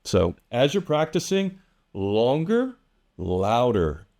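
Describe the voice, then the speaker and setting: A man teaching English pronunciation to camera, speaking deliberately and drawing out example words. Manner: lively, animated pitch. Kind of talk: direct-to-camera lesson.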